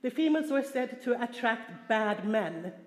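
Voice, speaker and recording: A woman from Sweden with a Swedish accent, giving an earnest, halting personal talk at a conference. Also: on the high side, animated. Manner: earnest, halting, frequent restarts and fillers. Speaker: conference speaker. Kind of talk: personal testimony talk with slides. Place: large conference hall. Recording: echoey hall, long reverb.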